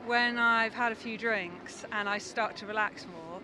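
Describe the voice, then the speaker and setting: A woman's voice: medium pitch, unhurried. A woman from the West Country of England, chatting casually in an interview. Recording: noisy outdoor street with traffic.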